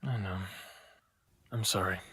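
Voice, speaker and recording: Slow-talking, flirty smartass man. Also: on the low side, slightly rough, no echo, exaggerated voice. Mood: soft, relieved. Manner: playful, unhurried, soft-spoken. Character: smartass human man in his late thirties, flirtatious, tender. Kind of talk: intimate audio drama acting. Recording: faint music under the voice.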